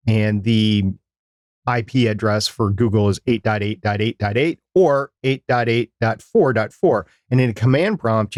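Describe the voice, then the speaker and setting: A man's voice: medium pitch, darkish tone, dry recording, clean studio sound. A man co-hosting a podcast in casual talk, explaining things step by step in a chatty, storytelling way. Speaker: podcast co-host.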